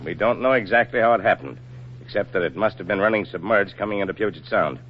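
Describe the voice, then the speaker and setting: An actor playing a stern, middle-aged Navy admiral in a 1940s radio drama, speaking in a formal, measured, authoritative way. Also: male voice, lowish voice, natural voice. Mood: grave, businesslike. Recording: muffled, noisy 1940s radio recording.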